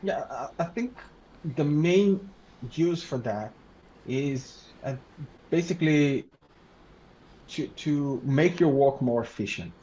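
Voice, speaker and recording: A man whose first language is Hebrew, a law researcher and lecturer answering interview questions in a measured, halting way with frequent restarts. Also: a little high, expressive, mellow, dull audio. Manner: measured, halting, with many restarts and "you know".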